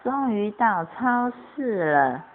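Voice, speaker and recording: A woman acts out a doting monster mother in a children's radio play. She speaks slowly, with simple exclamatory delivery. The recording is tinny and slightly noisy. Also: mid-range voice, big pitch swings. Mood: surprised. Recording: tinny, band-limited amateur recording with noise.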